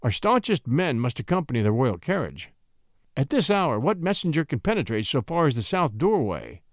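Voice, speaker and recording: A man reading a story, playing a huge, suave marquis who speaks in a grand but softened, courtly way. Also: medium pitch, very animated, normal speed, no echo, studio quality, close to an everyday voice. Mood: measured, grand. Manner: great voice softened by habit, courtly.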